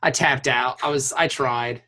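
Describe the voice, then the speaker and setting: A man's voice: mid-range voice, steady tone, measured pace, sharp tone, a little hiss or hum. A man hosting a casual podcast, bantering in an effusive, teasing way with plenty of swearing. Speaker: podcast host.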